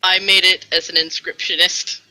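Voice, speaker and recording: A woman's voice: fairly low pitch, flat delivery, normal speed, sharp tone, no echo, poor audio. A woman chatting casually in a group and reading aloud.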